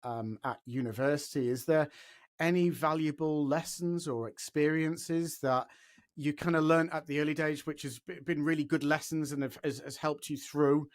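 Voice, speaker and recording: A man hosting a podcast, asking measured questions and encouraging his guest. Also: on the high side, steady tone. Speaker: podcast host. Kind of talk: podcast interview.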